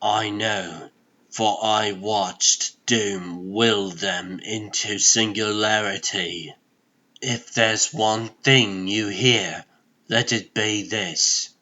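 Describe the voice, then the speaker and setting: A man voicing a solemn, world-weary sorcerer in a comic dub, speaking gravely and with portentous deliberation. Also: lowish voice, fairly even intonation, drawn-out words, sharp tone, lo-fi sound, full character voice. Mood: ominous. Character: solemn, world-weary adult male sorcerer serving a god. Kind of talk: comic dub dramatic monologue.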